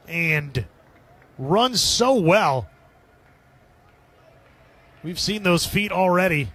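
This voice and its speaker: Male sports radio commentator, high voice, live baseball play-by-play in short clipped phrases. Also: fairly bright tone.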